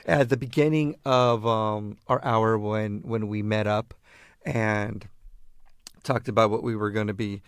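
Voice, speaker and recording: A man from East Los Angeles with an American accent, hosting a casual radio show as disc jockey in drawn-out, halting phrases. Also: on the low side, fairly even intonation, smooth, dry recording, studio quality.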